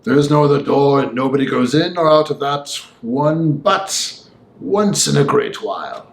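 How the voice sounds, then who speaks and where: A man voicing an opinionated young gentleman in an audiobook, talking in a formal, emphatic, discursive way. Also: mid-range voice, lively intonation, normal speed, gravelly, roomy sound. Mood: matter-of-fact. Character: opinionated, talkative young Victorian gentleman, Mister Enfield. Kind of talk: audiobook character voice.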